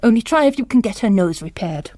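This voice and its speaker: A woman's voice: on the high side, lively intonation, fast talker, warm tone, dry recording. A woman voicing a pompous, impatient male bishop with a blustering, emphatic manner, in an audiobook-style drama. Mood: impatient, brisk.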